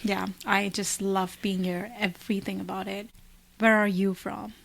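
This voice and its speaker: A woman's voice: mid-range voice, little pitch movement, moderate pace, vocal fry. A woman in her twenties from India, co-hosting a podcast in casual chat, thinking aloud with many likes and restarts. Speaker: podcast co-host.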